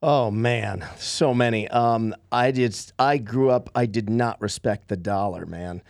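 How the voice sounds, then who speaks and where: A man's voice: lowish voice, unhurried, creaky, no room sound. A man in his fifties, a guest on a podcast, casually telling stories about his past. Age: 50s. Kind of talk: casual storytelling.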